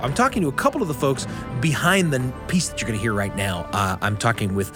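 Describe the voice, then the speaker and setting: A man hosting a radio show and telling reflective stories, with earnest, deliberate phrasing. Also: medium pitch, lively intonation, over music. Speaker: radio show host and storyteller. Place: radio studio.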